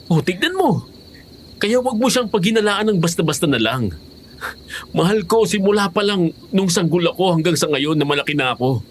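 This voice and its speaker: A man's voice: high-pitched, very animated, a little fast, a little hiss or hum. A man playing a loving but hard-headed young husband, earnest and dramatic, in a horror audio drama. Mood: defensive. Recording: music behind the voice.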